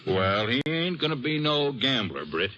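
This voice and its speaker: An actor playing a restless American gambler father in an old-time radio western, blustery and regretful, with a halting, folksy delivery. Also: mid-range voice, big pitch swings, sharp tone, dull audio. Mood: indignant, resolved.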